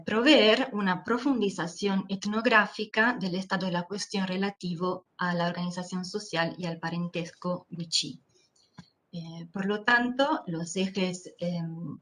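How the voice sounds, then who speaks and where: A woman with an Italian accent gives an academic lecture in a second language over a video call. Her delivery is careful and measured, with frequent hesitant fillers as she searches for words, all at an ordinary middle pitch. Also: a bit bright. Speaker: anthropology lecturer.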